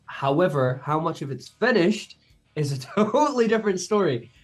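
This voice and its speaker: Man, band songwriter, relaxed, hesitant, laughs often, casual chat. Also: on the high side, moderate pace, soft background music.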